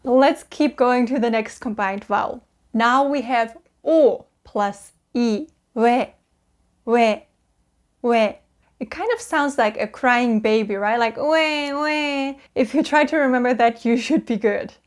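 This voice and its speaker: Young German woman, fairly high pitch, upbeat patient teacher of language basics. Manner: cheerful, patient, drawn-out sounds for repetition. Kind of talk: explaining Korean vowels to learners.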